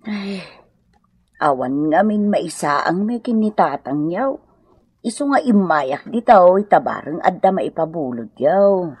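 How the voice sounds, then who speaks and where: A woman's voice: fairly low pitch, sing-song, measured pace, a little mellow, slightly rough, dry recording, full character voice. A woman acting an aggrieved elderly mother-in-law in a radio comedy drama, plaintive and reproachful, with an Ilocano accent. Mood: pleading.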